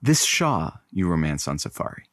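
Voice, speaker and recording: Actor playing sardonic sparring partner, lively pitch, challenging, wry, comedic audio drama banter. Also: normal speed. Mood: sly, probing. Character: sardonic, combative co-host needling partner's tall stories.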